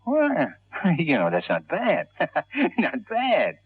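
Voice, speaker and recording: An actor playing a suspicious, hot-tempered convict gangster, talking tough and slangy in an old radio crime drama. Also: male voice, fairly high pitch, huge pitch swings, extremely slow, breathy edge, full character voice. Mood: eager, edgy. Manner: agitated, slangy, tough-guy talk. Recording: tinny, dry old radio broadcast.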